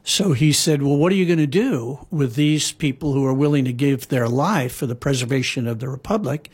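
A man's voice: mid-range voice, fairly quick, darkish tone, husky. A man telling historical stories in a casual conversation, rambling with frequent fillers and restarts. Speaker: guest storyteller. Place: lives in Hawaii.

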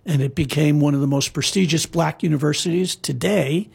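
A man's voice: fairly high pitch, a little fast, vocal fry, darkish tone, slightly rough. A man telling historical stories in a casual conversation, rambling with frequent fillers and restarts. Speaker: guest storyteller. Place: lives in Hawaii.

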